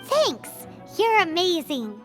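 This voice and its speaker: A woman voicing a small, spirited, childlike game companion, squeaky, fussy and outspoken. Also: sing-song, takes it slowly, fairly bright tone, heavily acted. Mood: grateful. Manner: exclamatory, outspoken. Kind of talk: video game voice acting. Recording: music behind the voice.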